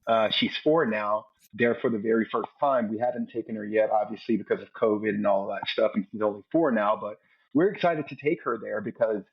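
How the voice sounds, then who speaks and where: Earnest, husky-voiced man telling his story. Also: on the low side, brisk. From United States. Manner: earnest, repeats words as he gathers thoughts. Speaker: podcast guest, former Navy SEAL. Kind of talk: reflective storytelling in an interview. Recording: dull lo-fi recording.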